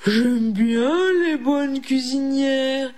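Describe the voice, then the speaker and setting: A big hungry ogre wolf from a children's storybook, played by a woman. He talks in a gruff, threatening way, slow and impatient for his meal. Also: high-pitched, steady tone, a little mellow. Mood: ravenous.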